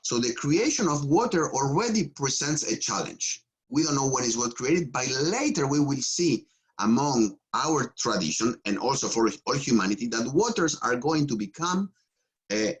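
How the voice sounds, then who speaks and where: A man's voice: medium pitch, animated, poor audio. A man from Argentina with an Argentine Spanish accent, a rabbi giving a Torah teaching with fillers, restarts and light jokes. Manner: joking, halting, many fillers and restarts.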